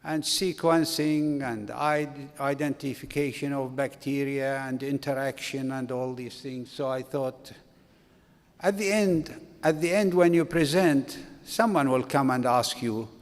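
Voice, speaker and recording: Slow-spoken older man in a hall. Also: mid-range voice. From Iraq. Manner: slow, halting delivery with restarts. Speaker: conference speaker. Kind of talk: academic conference talk. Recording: long reverb of a conference hall.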